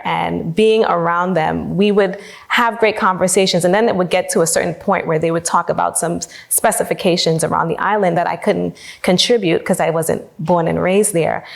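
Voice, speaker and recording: Low, gravelly-voiced New woman panelist. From New York, USA. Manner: measured, personal storytelling with "um" pauses. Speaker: panelist, diversity executive. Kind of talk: conference panel speaking.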